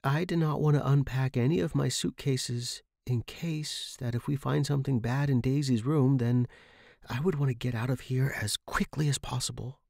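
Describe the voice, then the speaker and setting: A man's voice: mid-range voice, creaky, a little mellow, dry recording, clean studio sound. A man reading a scripted first-person horror story as a podcast narrator.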